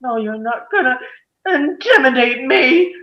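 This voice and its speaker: Lady playing a stubborn angry juror guy, high voice, ranting slow and anguished, muffled roomy audio. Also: expressive. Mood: desperate fury. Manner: ranting, halting and repetitive, swinging to anguished shouts. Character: stubborn, furious man on a jury, breaking down. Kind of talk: dramatic table read. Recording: muffled, roomy home recording.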